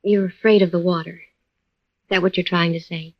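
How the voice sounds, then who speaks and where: An actress playing a spirited young wife in an old-time radio drama. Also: female voice, fairly low pitch, steady tone, moderate pace, muffled recording. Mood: softened, concerned. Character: spirited young wife, teasing and quick to feel hurt. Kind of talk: 1940s-50s radio drama acting.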